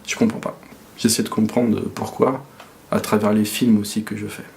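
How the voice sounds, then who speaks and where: A man's voice: lowish voice, flat delivery, moderate pace, vocal fry, noisy background. A young man from France, talking casually and reflectively as an interviewee, hesitant with many fillers and restarts.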